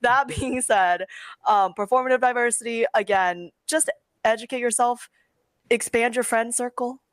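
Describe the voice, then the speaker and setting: A woman's voice: on the high side, normal speed, no echo, low-quality recording. A young adult woman giving advice in a casual panel talk, rambling informally and emphatically. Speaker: guest webcomic creator.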